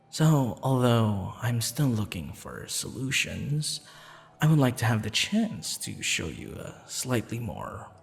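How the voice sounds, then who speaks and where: A smitten assassin played by a man courts the listener in an ASMR roleplay, his voice swathed in long reverb with music underneath. He talks slowly and softly in formal, courteous phrases, flirtatious yet a little sheepish, with a gravelly creak. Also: mid-range voice, full character voice. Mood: calm, confessional. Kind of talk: ASMR roleplay, intimate scripted monologue.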